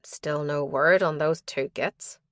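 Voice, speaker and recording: A woman's voice: sits low, steady tone, slow, no room sound, studio quality. A woman reading a weary male detective. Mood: grim.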